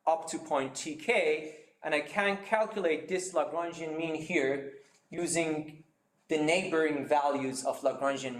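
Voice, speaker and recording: A man giving a seminar talk, explaining his method step by step with many pauses and fillers. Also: on the high side, roomy sound, slight background noise. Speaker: seminar speaker.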